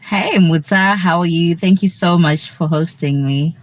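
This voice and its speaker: A woman's voice: on the low side, measured pace, dry recording, dull audio. A woman from Zimbabwe, a guest on a radio interview, chatting and laughing often between phrases. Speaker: guest, cultural advocate.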